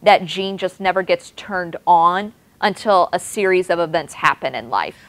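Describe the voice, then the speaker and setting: A woman's voice: lowish voice, moderate pace, fairly bright tone. Chatty woman answering audience questions. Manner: chatty, lots of "like" and "you know". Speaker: nutrition presenter at a clinic talk. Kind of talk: audience Q&A session.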